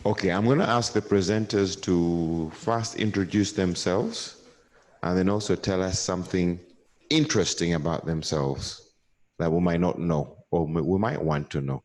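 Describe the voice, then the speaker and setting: A man moderating a conference panel, brisk and jokey, who often repeats his words. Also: deep voice, a little mellow. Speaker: session moderator. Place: conference hall.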